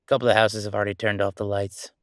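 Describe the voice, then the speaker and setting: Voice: low-pitched, little pitch movement, rapid-fire, clean tone, no room sound, clean studio sound, natural voice. An actor playing a chatty, sardonic ghost roommate in a roleplay audio, talking in a casual, teasing, exclamatory way. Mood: wistful, easygoing. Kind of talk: roleplay audio acting, conversational.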